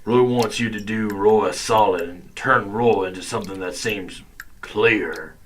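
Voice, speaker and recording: An actor playing a cocky, simple-minded space adventurer who talks about himself by name in a comedic radio drama. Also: male voice, lowish voice, a little slow, slight background noise, lightly acted. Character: cocky, dim, pleasure-seeking space adventurer who speaks in third person.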